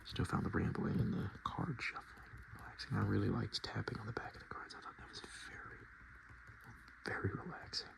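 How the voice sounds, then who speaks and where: A man softly murmuring and whispering in a casual, rambling ASMR style as he hosts his video. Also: fairly low pitch, brisk, vocal fry, low-quality recording. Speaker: ASMR video creator and host.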